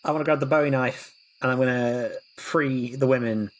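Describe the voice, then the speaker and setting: Mid-pitched man, playful tabletop game player. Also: steady tone, moderate pace, no room sound, rough recording. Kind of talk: casual improvised roleplay chat.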